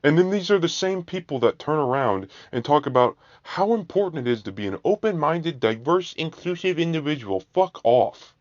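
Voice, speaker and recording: A man ranting angrily in a profane, repetitive monologue, his voice flat and monotone. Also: on the high side, moderate pace, few pauses, no echo. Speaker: vlogger. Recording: dull lo-fi dry recording.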